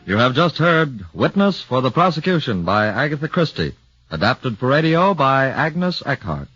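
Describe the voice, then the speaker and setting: A man, a radio announcer, reading formal credits in a measured, declamatory way. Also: medium pitch, very animated, fairly bright tone. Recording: dull 1940s radio broadcast with music.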